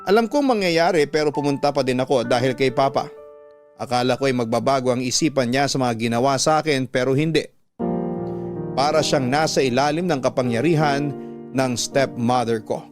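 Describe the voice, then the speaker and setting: A man's voice: medium pitch, normal speed. A man narrating a listener's letter in the first person as a radio host, in a dramatic storytelling style. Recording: piano music behind the voice. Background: piano and keyboard music.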